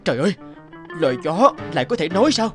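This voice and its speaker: A man playing a young mandarin in a cartoon folk tale, with a theatrical, expressive delivery. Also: high-pitched, fast talker, soft background music. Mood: scornful. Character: arrogant young male mandarin, later humbled and contrite. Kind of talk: animated folk-tale dubbing.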